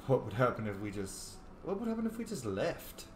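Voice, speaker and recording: A man's voice: mid-range voice, very animated, brisk. A man hosting a roleplay let's play, chatting casually and improvising replies to game characters. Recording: roomy echo, faint background noise.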